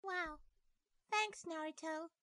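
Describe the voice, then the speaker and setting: A woman voicing a timid, meek young ninja girl with a squeaky voice in a cartoon parody. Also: slow, bright, forceful tone, no echo, clean studio sound, exaggerated voice. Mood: meek determined. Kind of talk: fan-dub parody cartoon acting.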